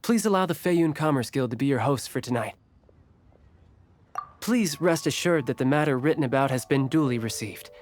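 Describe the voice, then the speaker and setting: A man's voice: medium pitch, expressive, close to an everyday voice. Man as courteous, formal merchant guild man. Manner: polite, formal, measured. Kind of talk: video game voice acting.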